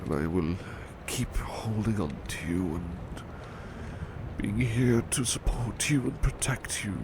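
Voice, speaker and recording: Slow, gravelly, tender man acting a devoted butler. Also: fairly low pitch, noisy background. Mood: drowsy, tender. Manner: soft-spoken, tender, hesitant, halting with repeated words. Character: devoted, gentle, humble male butler sweetheart. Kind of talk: ASMR roleplay, personal attention.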